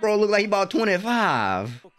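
Animated young man with a higher-pitched voice. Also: moderate pace. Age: young adult. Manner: animated, slangy, exclamatory. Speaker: anime reaction host. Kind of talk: casual reaction commentary. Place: small bedroom streaming setup. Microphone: desk microphone close in front.

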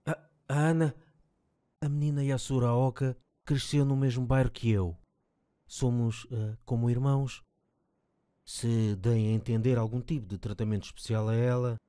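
A man's voice: medium pitch, steady tone, moderate pace, mellow, clean tone, lightly acted. A man with a European Portuguese accent voicing a timid, earnest, easily flustered young man in an anime fan dub. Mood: shy, earnest.

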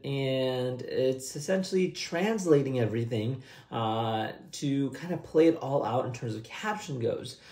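A man's voice: mid-range voice, a little mellow. A young adult man giving a casual, upbeat, conversational screen-share tutorial. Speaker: host of a software tutorial channel. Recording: roomy, echoey indoor sound.

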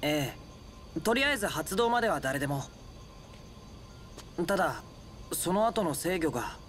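Earnest, higher-pitched boy researcher. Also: big pitch swings, measured pace, fairly bright tone, a little hiss or hum. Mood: polite, earnest. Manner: polite, earnest. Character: earnest, polite, thoughtful teenage boy researcher. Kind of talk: anime-style game voice acting. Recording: music behind.

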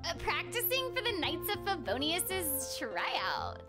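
A woman's voice: very high-pitched, wildly swooping pitch, takes it slowly, loud music behind the voice, exaggerated voice. A woman voicing a frustrated, earnest young female trainee knight, reading game dialogue aloud a little theatrically.

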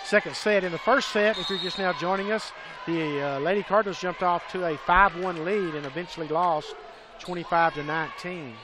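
A man calling a college volleyball match play by play, excitable and raising his voice on big plays. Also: sits high, normal speed. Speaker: play-by-play commentator. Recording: crowd and gym noise behind.

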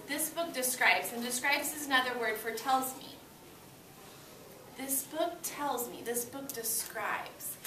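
A young woman, an elementary teacher, leading an interactive read-aloud for small children in an encouraging, expressive way. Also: fairly high pitch. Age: young adult. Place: classroom with children seated on the floor. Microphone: far camera. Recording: roomy, noisy classroom, distant microphone.

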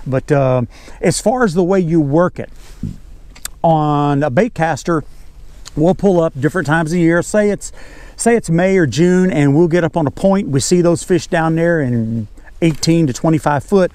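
A man's voice: a little high, moderate pace, a little mellow, no room sound. An older man with a Southern US accent giving an informal, folksy fishing lesson as a guide, repeating key words for emphasis. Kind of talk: informal instructional talk. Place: outdoors on a bass boat on a lake.

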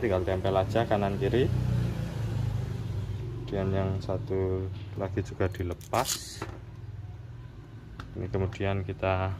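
Low-voiced man over background noise. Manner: informal, repetitive step-by-step explaining. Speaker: motorcycle accessory shop presenter. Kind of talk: casual hands-on tutorial narration. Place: indoors at a wooden workbench. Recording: clearly noisy room with background hum.

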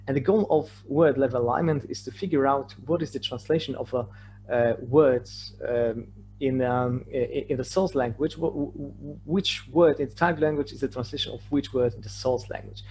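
Male presenter, native Polish with Polish accent, mid-pitched, halting lecture delivery, slightly noisy online recording with hum. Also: darkish tone. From Poland. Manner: hesitant, repeats words and stammers over phrase starts. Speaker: conference presenter. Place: remote online presentation with shared screen.

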